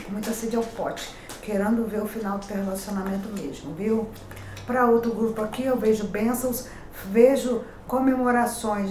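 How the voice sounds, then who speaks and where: Chatty Brazilian tarot reader in echoey room. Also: female voice, medium pitch, darkish tone. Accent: Rio de Janeiro Portuguese. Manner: chatty, warns and advises, calls out each sign with lifted voice. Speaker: tarot reader and horoscope host. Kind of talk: daily horoscope reading to camera. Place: pink-walled room at a table with cards. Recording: echoey room, faint noise.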